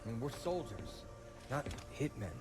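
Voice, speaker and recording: A man's voice: mid-range voice, lively intonation, moderate pace, music in the background, lightly acted. An actor playing an earnest, principled male military officer in a video game. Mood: earnest, troubled. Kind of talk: cinematic game acting.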